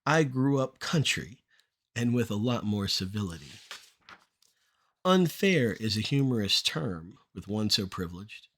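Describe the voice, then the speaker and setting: A man's voice: lowish voice, big pitch swings, a little slow, a bit bright. Middle-aged man reciting spoken-word poetry.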